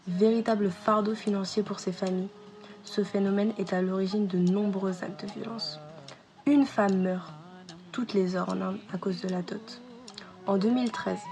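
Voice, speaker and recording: A woman's voice: medium pitch, darkish tone. A woman reading formal, informative narration from a script as a documentary narrator.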